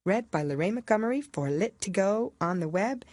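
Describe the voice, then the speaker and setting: A woman narrating a children's classic as an audiobook, in a measured, expressive storytelling manner. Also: mid-range voice, dry recording. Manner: expressive, measured literary reading.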